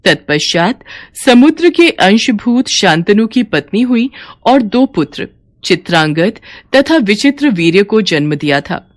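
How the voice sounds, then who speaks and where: A woman's voice: mid-range voice, very animated, measured pace. A woman storyteller reading a scripted devotional narration.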